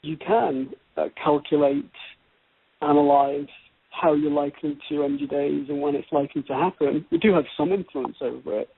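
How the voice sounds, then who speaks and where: A man speaking as an astrological reader in a conversational debate, choosing words in a measured, halting, deliberate way. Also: a little high, steady tone, creaky, husky, no room sound. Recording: tinny, band-limited radio or phone-line audio.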